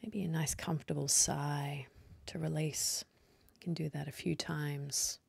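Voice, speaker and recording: A woman leading a guided meditation in a soft, husky, breathy voice, speaking slowly and soothingly with long pauses. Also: low voice, steady tone, no echo.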